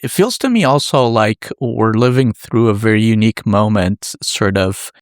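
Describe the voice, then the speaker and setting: A man hosting a podcast interview, asking conversational questions in a measured way and softening them with hedging phrases. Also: on the low side, no echo, studio quality.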